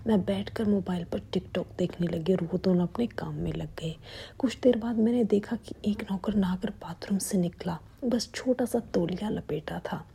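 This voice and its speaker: A young woman narrating a story in a steady hushed whisper. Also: medium pitch, moderate pace, creaky, breathy edge.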